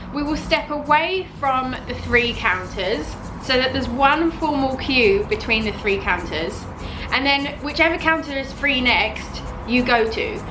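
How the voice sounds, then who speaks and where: A young British woman in her twenties, talking to camera in a casual, animated vlog and using "like" a lot. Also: high-pitched, low-quality recording. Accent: British English. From United Kingdom. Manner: chatty, animated, fills with "like". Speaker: vlogger. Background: background music.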